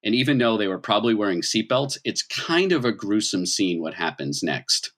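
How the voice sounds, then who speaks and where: Low-voiced man running a tabletop game. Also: very animated, moderate pace, a bit bright. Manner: conversational, explanatory, checks in with "right?". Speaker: game master. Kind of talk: improvised tabletop game narration.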